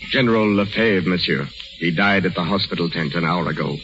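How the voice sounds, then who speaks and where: A deep, grave army sergeant. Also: male voice, a little fast, loud music behind the voice. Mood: solemn. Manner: solemn, formal. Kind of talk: 1930s radio drama acting. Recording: muffled, very noisy 1930s radio recording.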